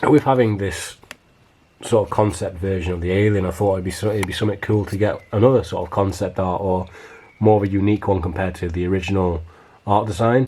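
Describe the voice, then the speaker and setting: A man with a very low, hoarse voice that sounds worn out, as if he is losing it. He talks about his collection in a loose, rambling way and often restarts his sentences, like a casual vlogger showing off his shelves. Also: a little mellow. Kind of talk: casual show-and-tell of a collection.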